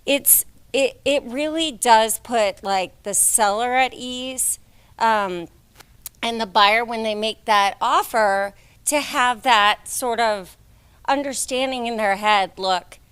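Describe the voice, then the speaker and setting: A woman real estate agent speaking up in a meeting, loud and conversational with lots of "like". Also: on the high side, slow, clear, resonant tone, dry recording. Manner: loud-voiced, conversational, frequent "like". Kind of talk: meeting discussion.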